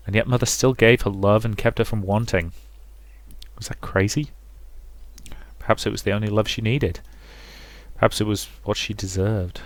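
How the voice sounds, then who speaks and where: A man's voice: fairly low pitch, no echo. A man who reads a visual novel aloud as a let's play host, mixing story narration with casual asides.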